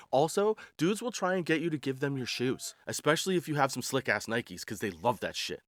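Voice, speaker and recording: Male podcast host, 40s, medium pitch, wry and slangy comedic storyteller, very little room sound. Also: animated, normal speed, fairly bright tone, no room sound. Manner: wry, slangy, self-deprecating, chuckles between punchlines. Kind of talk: comedic personal storytelling monologue.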